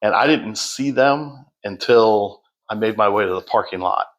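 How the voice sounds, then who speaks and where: A man telling his story as a podcast guest in a loud, projecting voice, unhurried, with many fillers and restarts. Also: lowish voice, rough recording. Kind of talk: casual storytelling.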